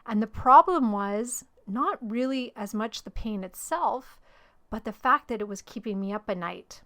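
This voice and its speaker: A middle-aged woman hosting her own podcast, slightly hoarse from losing her voice, talking openly and personally about her own story. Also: on the high side, expressive, measured pace, pushed and bright. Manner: open, personal storytelling with light laughs. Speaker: podcast host and life coach. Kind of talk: solo podcast monologue.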